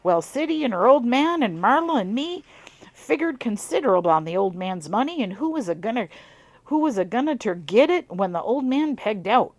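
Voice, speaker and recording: A woman's voice: on the high side, very animated, normal speed, no echo. A woman voicing a grumbling old rural man with a put-on Southern twang, telling a family story in a folksy drawl. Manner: folksy drawl, dropped endings.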